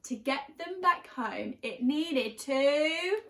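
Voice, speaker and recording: A woman with a high voice reads a children's storybook in a roomy, echoing space. She tells it expressively, leaning on key words for drama. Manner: animated, dramatic emphasis with drawn-out key words. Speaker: storyteller reading a children's picture book. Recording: roomy, echoing room sound.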